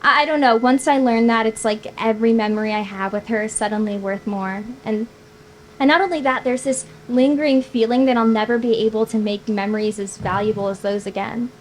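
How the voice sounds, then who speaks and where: A woman's voice: fairly high pitch, a little fast, close to an everyday voice, rough recording. A woman reading the part of a wistful, teasing young woman at a screenplay table read. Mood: melancholy, anxious. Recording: noticeable background noise.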